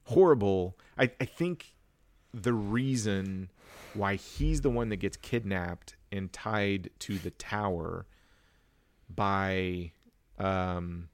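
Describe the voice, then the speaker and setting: Low-voiced man chatting casually. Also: slow, dry recording. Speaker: movie podcast host. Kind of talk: casual chat.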